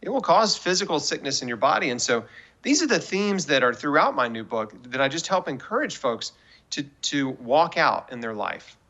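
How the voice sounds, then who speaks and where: A man's voice: fairly high pitch, big pitch swings, normal speed, sharp tone. A man in his forties speaking as an interview guest in an emphatic, persuasive way, giving earnest faith-based encouragement. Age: 40s. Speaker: guest author and cancer survivor.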